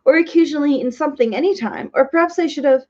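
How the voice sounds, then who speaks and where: A woman's voice: high-pitched, sing-song, normal speed, breathy edge, voice pushed up, exaggerated voice. A woman reading aloud, voicing a pompous, fussy male bug who uses grand words and agrees with everyone. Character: pompous fussy male humbug insect, wordy. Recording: dull lo-fi recording.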